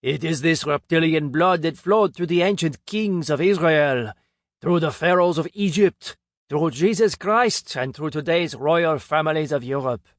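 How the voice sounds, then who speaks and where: A man's voice: fairly high pitch, expressive, unhurried, no echo, studio quality, pitched above the performer's own voice. A man voicing a jowly, middle-aged Frenchman with a French accent who lectures grandly on conspiracy theories. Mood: grandiose. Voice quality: theatrical, grandiose delivery.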